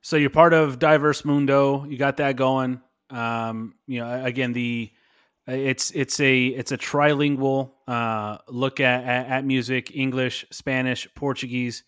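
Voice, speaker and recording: A man's voice: mid-range voice, steady tone, measured pace, fairly bright tone, smooth. A man hosting a podcast, asking long questions with many hesitations and restarts. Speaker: podcast host. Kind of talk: interview questions.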